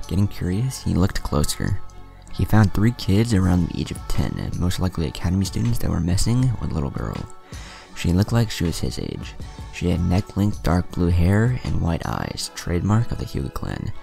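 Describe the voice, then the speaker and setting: Deep gravelly male story reader. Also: very animated, moderate pace, mellow, faint music behind. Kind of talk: fan fiction reading.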